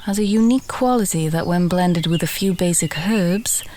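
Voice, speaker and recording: A woman playing a friendly, teasing village alchemist in a fantasy audio roleplay, talking gently and conversationally to the listener. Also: lowish voice, moderate pace, slight background noise, natural voice. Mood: delighted, instructive. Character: friendly female village alchemist, warm, teasing, earthy, encouraging.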